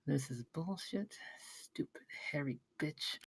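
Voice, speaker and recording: Woman playing brash storytelling pitchman, lively delivery. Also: slow pace, creaky, husky, no echo, heavily acted. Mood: bitter muttering. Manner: emphatic, profane, conversational storytelling. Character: brash, excitable male pitchman spinning a campfire tale. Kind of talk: table read acting.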